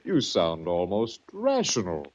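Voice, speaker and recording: A muffled old radio recording presents a controlling male asylum official. His mid-pitched voice rises and falls sharply. He sounds clipped, patronising and stern, in forties radio-drama manner. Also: huge pitch swings, measured pace, darkish tone, heavily acted. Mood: coolly patronising. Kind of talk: 1940s radio drama acting.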